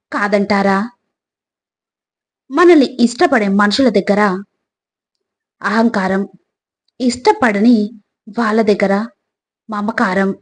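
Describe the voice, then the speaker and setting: A woman reading scripted motivational narration in short, deliberate phrases, often turning to the listener. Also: medium pitch.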